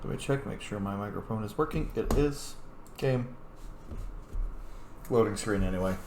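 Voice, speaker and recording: A man's voice: on the low side, moderate pace, background noise. Male gaming host in a roomy space. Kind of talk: casual game commentary. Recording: roomy echo, game music behind.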